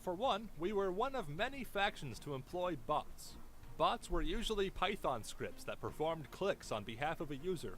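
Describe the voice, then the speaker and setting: A man's voice: high voice, very animated, normal speed, a bit bright, a little hiss or hum. A man reading a scripted documentary-style video narration with theatrical, suspenseful storytelling. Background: background music.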